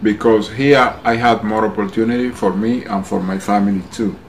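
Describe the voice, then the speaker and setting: A man from the Republic speaks English. He answers interview questions in short, halting phrases, in a voice that sits a bit low. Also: steady tone, low-quality recording. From Dominican Republic. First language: Spanish. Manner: halting non-native English.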